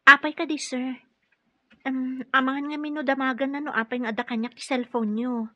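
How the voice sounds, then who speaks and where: A woman's voice: high-pitched, little pitch movement, normal speed, pushed and bright, dry recording, heavily acted. An actress playing a polite young female nurse who speaks deferentially to her superiors in an Ilocano radio drama. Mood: deferential, surprised. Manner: respectful, addressing superiors as sir.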